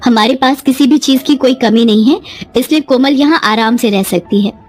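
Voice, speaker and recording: Woman voicing a haughty, snapping young daughter, high-pitched. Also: normal speed, lightly acted. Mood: angry, scornful. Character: spoiled, angry college-age daughter, defiant and scornful.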